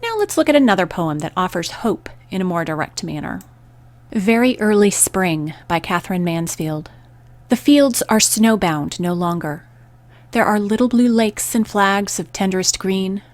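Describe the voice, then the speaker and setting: A woman hosting a poetry radio program, reading scripted narration and verse in a measured, deliberate way with pauses between phrases. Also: medium pitch, animated, dry recording.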